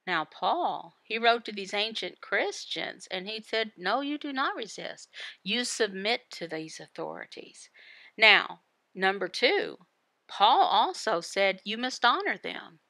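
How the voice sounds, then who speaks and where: A woman voicing a Bible devotional, explaining it phrase by phrase in a deliberate manner with pauses. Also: medium pitch, very animated, gravelly, pushed and bright, dry recording. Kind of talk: Bible teaching, voiced devotional.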